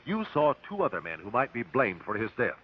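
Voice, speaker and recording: An actor playing a shrewd, relentless detective at an inquest, measured and authoritative, in vintage radio drama style. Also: male voice, medium pitch, wildly swooping pitch, breathy edge. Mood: pressing, accusing. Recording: dull vintage radio broadcast recording.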